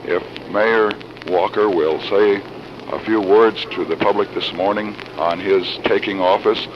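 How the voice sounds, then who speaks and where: A man reporting live on 1940s radio in a formal, measured announcing manner, with a cold. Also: lowish voice, fairly even intonation. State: has a cold. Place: council chamber. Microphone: broadcast microphone. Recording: noisy, muffled 1940s radio broadcast recording.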